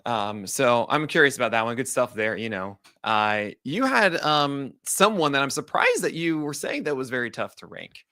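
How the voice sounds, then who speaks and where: A man co-hosting a pitching podcast, talking baseball in animated, joking casual chat with plenty of fillers. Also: moderate pace, hardly any pauses, no echo, studio quality.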